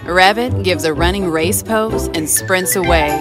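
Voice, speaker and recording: A woman's voice: fairly low pitch, unhurried. Woman narrating a children's story over music. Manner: clear, even storytelling.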